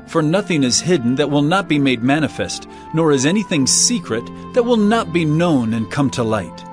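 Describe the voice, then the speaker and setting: A male actor as an earnest teacher of authority in an audio Bible drama. His fairly high male voice rises and falls expressively, solemn and deliberate, over prominent music. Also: expressive, natural voice. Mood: earnest teaching.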